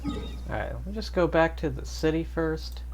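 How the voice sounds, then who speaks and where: A woman's voice: low-pitched, lively intonation, moderate pace, a little mellow, a little hiss or hum. A woman livestreaming a game, giving casual, dry, brief asides as she plays. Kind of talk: casual reactions while playing a game.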